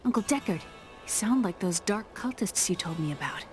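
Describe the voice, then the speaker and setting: Woman playing young curious niece in fantasy game, mid pitched, soft music bed. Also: expressive, normal speed, natural voice. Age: young adult. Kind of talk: video game voice acting.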